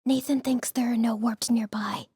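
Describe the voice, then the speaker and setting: An actress playing a cautious, clever young female student in an audiobook drama, expressive and wary. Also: fairly high pitch, moderate pace, slightly rough, no echo, clean studio sound. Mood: reluctant, hushed. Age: young adult.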